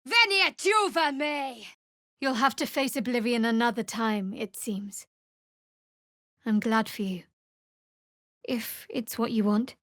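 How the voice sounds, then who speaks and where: High-voiced, guarded fantasy cleric. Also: female voice, takes it slowly, pauses often, fairly bright tone, dry recording, studio quality. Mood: intense incantation, then solemn. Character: guarded, wry female cleric of a dark goddess. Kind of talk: fantasy video game voice acting.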